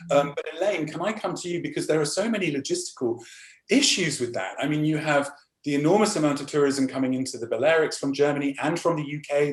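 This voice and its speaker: A man hosting a panel discussion, speaking quickly and peppering his questions with "you know". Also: mid-range voice, reverberant, rough recording. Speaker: host.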